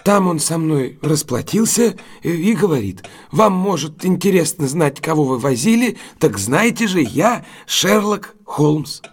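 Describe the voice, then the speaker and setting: An actor in an audio drama playing a deferential, wary but honest London cab driver, husky and halting, speaking respectfully to his betters. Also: fairly high pitch, big pitch swings, full character voice. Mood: earnest recounting.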